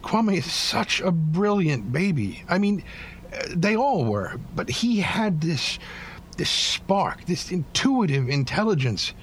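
A man playing a weary, bitter but devoted working-class widowed father in an audio drama, plain-spoken and halting. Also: high-pitched, big pitch swings, a little hiss or hum, exaggerated voice. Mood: grieving, bitter. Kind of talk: naturalistic audio drama acting.

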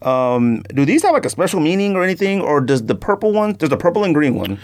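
A man's voice: fairly high pitch, very animated, fast. A man hosting a podcast, chatting casually and informally with plenty of slang. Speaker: podcast host. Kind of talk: casual sneaker podcast chat, unboxing.

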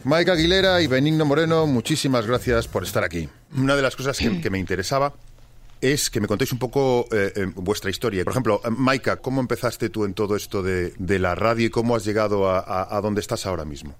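A man's voice: fairly low pitch, fast, soft background music. A man with a Spanish accent from Spain, hosting a conversational interview. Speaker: interviewer, programme host.

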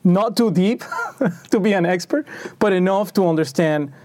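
A man's voice: sits high, normal speed, darkish tone. A man from Venezuela answering interview questions in a thoughtful, conversational way, often restarting his sentences. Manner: thoughtful, many restarts and fillers. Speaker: packaging engineer, interview guest.

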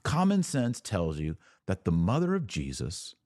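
A man's voice: sits low, huge pitch swings, measured pace, darkish tone, no room sound, clean studio sound. A man hosting a religious podcast, talking informally and emphatically, often with rhetorical questions and repeated phrases.

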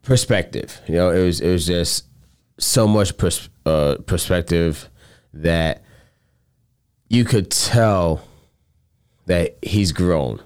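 A man with a strikingly deep, smooth, resonant voice recounts a story in relaxed conversation. He talks earnestly in loose, rambling phrases and drops casual swears along the way. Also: slow pace, pauses often.

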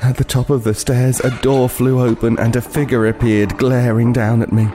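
An actor playing a haunted, remorseful homeless killer, narrating a horror audio drama gravely and with anguish. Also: male voice, medium pitch, little pitch movement, normal speed, over music, natural voice. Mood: horrified.

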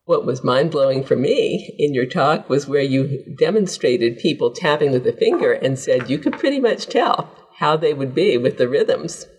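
Husky-voiced male podcast host. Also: on the high side, very animated, normal speed, few pauses, rough recording. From southern California. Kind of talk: interview questions.

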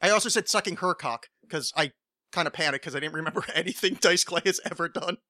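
A man's voice: on the high side, quick pace, sharp tone, dry recording, studio quality. A man hosting a casual comedy podcast, joking and mocking himself, swearing often. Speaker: podcast host.

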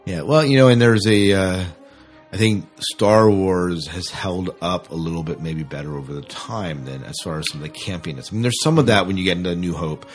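Very low-voiced man in casual podcast chat. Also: brisk, faint music behind. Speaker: podcast co-host. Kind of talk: casual unscripted fan chat.

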